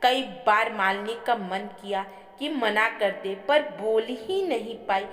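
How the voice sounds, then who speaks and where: गूंजती आवाज़ में ऊँचे सुर वाली महिला कथावाचक. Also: female voice, on the high side, animated, takes it slowly, fairly bright tone. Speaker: story narrator. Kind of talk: scripted narration of an emotional family story. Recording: echoey with long reverb.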